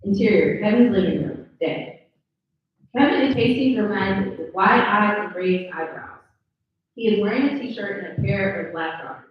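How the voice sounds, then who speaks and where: A woman narrates a screenplay's action lines at a live read. Her medium-pitched voice sounds distant and slightly dull, with heavy room echo. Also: normal speed. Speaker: narrator reading stage directions.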